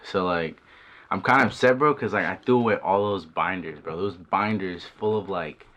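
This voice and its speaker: A young man, an artist, talking casually about his work in a documentary interview, relaxed and swearing freely. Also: low voice, normal speed, muffled recording. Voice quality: laid-back, swears often, says "bro" and "like".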